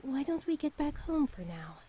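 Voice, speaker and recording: A gentle, tentative mother from a visual novel, acted by a woman. Her voice is warm and dark in tone, with some background noise and ambience. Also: high-pitched, sing-song, moderate pace. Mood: soothing. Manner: soft, tentative. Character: gentle, anxious mother of a teenage girl.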